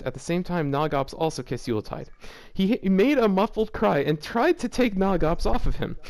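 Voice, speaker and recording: A man's voice: on the high side, a little mellow. Man reading fan fiction aloud, incredulous. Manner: breaks into incredulous laughter and groans. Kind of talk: casual reading aloud with commentary.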